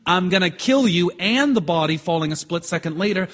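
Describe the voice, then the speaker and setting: An actor playing a calm, persistent juror who doubts and reasons persuasively in an audio drama. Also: male voice, sits high. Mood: reasoning. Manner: measured, persuasive, questioning. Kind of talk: stage-style audio drama acting.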